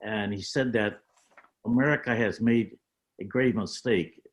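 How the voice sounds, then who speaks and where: An older man speaks over a video call as a panel guest, reflecting on his life story. He talks in a measured, halting way, pausing on fillers as he picks his words. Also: on the low side.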